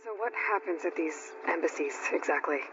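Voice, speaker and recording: A woman's voice: deep voice, normal speed, fairly bright tone, lo-fi sound, slight background noise. An actress playing a determined, blunt young woman warrior in a video game. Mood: businesslike.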